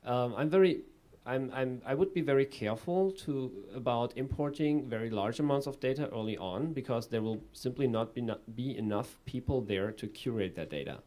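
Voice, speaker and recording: A man in his thirties answering an audience question on a conference panel, measured and full of small fillers, into a table microphone in a conference room. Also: medium pitch, animated, dark tone. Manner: measured, with frequent fillers and restarts. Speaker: panelist presenting a project.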